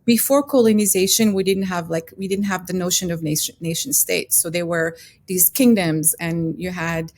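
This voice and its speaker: A woman's voice: on the low side, fairly even intonation, moderate pace, darkish tone. A middle-aged woman with a Moroccan accent, chatting as a podcast guest in a hesitant, reflective way. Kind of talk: casual interview chat.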